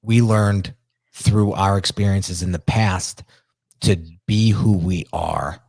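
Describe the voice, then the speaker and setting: A middle-aged man with a strong New Jersey accent and a deep voice, hosting a podcast and telling stories in casual, animated chat, often repeating and restarting words. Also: slow pace, vocal fry, no echo. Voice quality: deep register. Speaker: podcast host.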